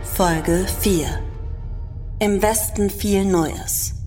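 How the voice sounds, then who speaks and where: A woman's voice: medium pitch, steady tone, drawn-out words. A woman making scripted announcements as the announcer of a podcast. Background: loud music.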